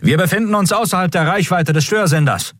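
A crisp comms officer, as a man puts him on, announcing in a raised, quick voice. It sounds dry and a little husky. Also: sits high, fairly bright tone, no room sound, pitched above the performer's own voice. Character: male communications officer on a warship.

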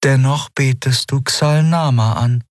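A man's voice: mid-range voice, steady tone, unhurried, no echo, studio quality, voice pushed up, natural voice. A man voicing a cool, cynical winged female being of great age in an audiobook reading.

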